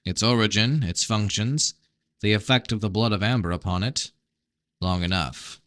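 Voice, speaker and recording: A man's voice: fairly low pitch, moderate pace, fairly bright tone, no room sound, studio quality, natural voice. A man voicing a blunt, suspicious prince in a first-person novel reading, terse and challenging. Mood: pressing, suspicious. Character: blunt, suspicious fantasy prince interrogating his brother.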